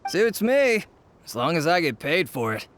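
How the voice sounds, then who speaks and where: A fairly high, lively male voice plays a hired henchman in a game. He talks in a flippant, offhand way. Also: moderate pace, a little hiss or hum. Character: mercenary henchman who wants his pay.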